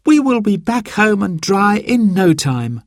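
A man voicing a cheerful, caring and excitable young girl in a children's story. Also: sits high, a little slow, dry recording, studio quality, pitched above the performer's own voice, exaggerated voice. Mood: upbeat.

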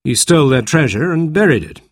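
A man's voice: fairly high pitch, animated, fairly quick, no room sound, studio quality, voice pushed up. A man voicing a careful, serious older doctor who speaks earnestly.

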